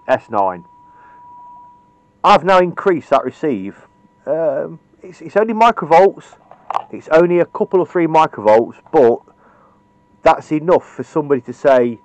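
British male, informal workbench tutorial presenter, blunt and opinionated, somewhat lower-pitched everyday delivery on muffled camera audio. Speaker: radio repair hobbyist presenting a tutorial. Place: indoors at a cluttered electronics workbench. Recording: dull lo-fi camera microphone.

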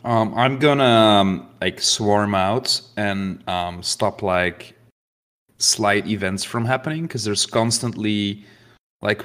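Casting: lowish male player, goofy and a bit stop-start. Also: a bit bright. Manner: halting, playful, many fillers. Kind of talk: casual tabletop roleplay chat.

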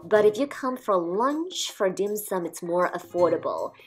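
A woman calmly narrating a restaurant food review as a voice-over, describing each dish in a measured way. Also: medium pitch, soft background music. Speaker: food vlogger.

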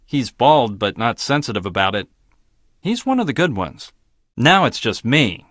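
Arrogant scientist, swooping intonation. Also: male voice, mid-range voice, very animated, measured pace, fairly bright tone, no room sound, clean studio sound. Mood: dry, matter-of-fact. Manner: clipped, dismissive, pedantic. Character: arrogant, blunt, logical male research scientist. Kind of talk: video game voice acting.

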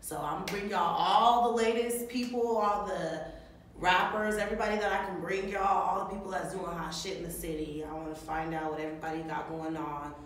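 Young woman vlog host, squeaky voice, low-ish pitch, heard from a distance in a boomy room. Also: fairly even intonation, brisk, a little hiss or hum. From Bakersfield, California. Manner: loud laughs, blunt swearing. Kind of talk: casual group chat. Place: small indoor room, group seated on stools. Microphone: far camera mic. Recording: echoey room from far off.

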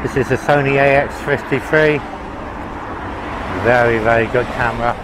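A man's voice: medium pitch, steady tone, normal speed. A man vlogging as he walks along a city street, chatting to viewers in a casual, opinionated way, recorded on a handheld camera. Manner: chatty, opinionated, talks to viewers while walking. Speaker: travel vlogger. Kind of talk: casual walk-and-talk narration. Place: outdoors on a busy city roadside. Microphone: handheld camera mic. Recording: street traffic noise behind.

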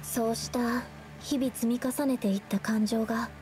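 Woman voicing young anime game heroine, high voice, timid yet principled, polite, soft, music behind. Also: steady tone, moderate pace, natural voice. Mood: guilty, hesitant. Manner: soft-spoken, polite, earnest. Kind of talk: anime-style game voice acting.

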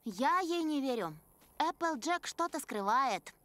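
A woman voicing an earnest, caring and sensible young female pony in an animated children's dub. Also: high voice, takes it slowly, heavily acted. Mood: concerned. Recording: prominent music behind the voice.

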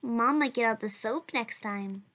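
A woman's voice: a little high, unhurried, a crowd in the background, natural voice. A woman voicing a cheerful, earnest child who answers questions for a blog in a comic dub. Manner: bright, chatty, earnest.

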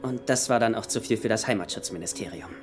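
An actor in a German film dub playing a nervous, wisecracking young treasure-hunting sidekick, sarcastic and prone to stammer. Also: male voice, lowish voice, fast talker, music in the background, lightly acted. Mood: dry. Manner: sarcastic, stammering when agitated.

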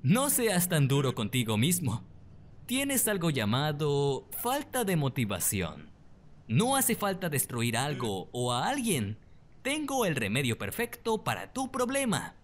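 A man with a Latin American accent voicing an excitable, friendly, moody skeleton artist in a theatrical, emotional animated fan dub. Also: fairly high pitch. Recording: echoey long reverb, music behind.

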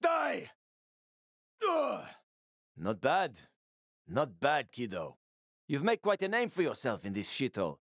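A man's voice: mid-range voice, wildly swooping pitch, takes it slowly, gravelly, long pauses, a bit bright, no room sound, clean studio sound, exaggerated voice. An actor playing a world-weary, streetwise male survivor in a video game, with a gritty, sardonic, foul-mouthed manner and dramatic delivery. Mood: agitated, angry, then wry.

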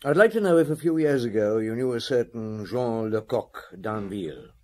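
An actor playing a shrewd police detective who questions tersely in a radio drama. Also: male voice, lowish voice, expressive, normal speed, mellow, natural voice. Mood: politely pressing. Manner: terse, probing. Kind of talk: old radio drama acting.

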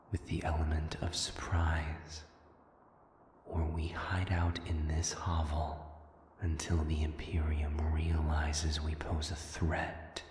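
A man playing a cold, patient otherworldly rebel leader in an audio drama, with a hushed, deliberate, menacing delivery. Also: rumbling low. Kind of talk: dramatic audio roleplay acting. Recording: echoey hall reverb with noisy ambience.

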